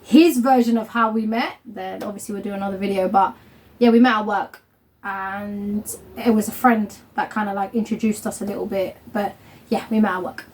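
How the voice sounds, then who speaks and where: A woman's voice: mid-range voice, steady tone, normal speed, a little mellow, a little hiss or hum. A young woman of Somali family hosting a casual couple vlog at home, chatty and rambling, with lots of fillers and laughter. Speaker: vlog host. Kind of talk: casual chat.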